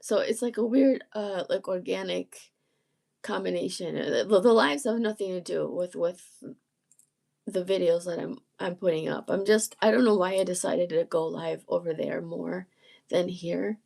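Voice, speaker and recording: A woman with a gravelly, husky, croaky voice, strained after illness, chatting casually on a live stream and often clearing her throat. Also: fairly low pitch, moderate pace, mellow. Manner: rambling, self-interrupting, frequent throat clearing. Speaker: musician and channel host.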